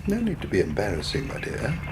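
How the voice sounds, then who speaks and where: A drily superior English gentleman in a radio drama. His low voice is languid and urbane, never hurried. A faint background noise lies under it. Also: wildly swooping pitch. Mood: languid, dry. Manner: dry, unhurried, condescending.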